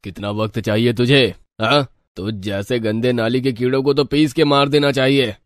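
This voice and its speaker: A man voicing a cruel, greedy old village moneylender, bossy and scornful, in an animated story. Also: medium pitch, normal speed, no room sound, clean studio sound, lightly acted.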